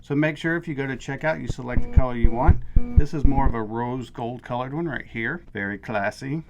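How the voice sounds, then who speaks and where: A man's voice: mid-range voice, brisk, over loud music, rough recording. A man in his thirties, casually demonstrating a product and explaining it step by step in an upbeat way. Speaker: product reviewer.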